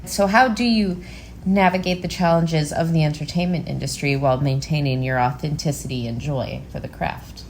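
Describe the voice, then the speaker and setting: Female podcast host, deep for a woman, chatty and informal, light background noise. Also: normal speed. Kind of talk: casual conversational interview.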